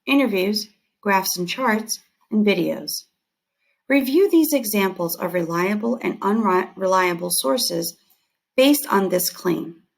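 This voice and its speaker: A woman teacher reading scripted lesson text aloud in a deliberate, instructional manner. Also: mid-range voice, vocal fry.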